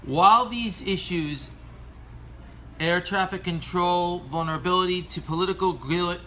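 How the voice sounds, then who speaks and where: Bright, higher-pitched American man reading commentary. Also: measured pace, slight background noise. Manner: earnest, formal reading with stumbles over words. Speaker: aviation commentator. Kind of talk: scripted opinion commentary read aloud.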